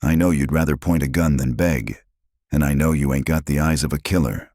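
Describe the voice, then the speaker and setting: A calm, protective marshal drawls slowly with a gravelly edge, as a man plays him. The voice sits very low, and the recording is tight and dry. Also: no room sound. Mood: steady. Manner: slow drawl, rough as gravel. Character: weathered male marshal, calm, wry and protective.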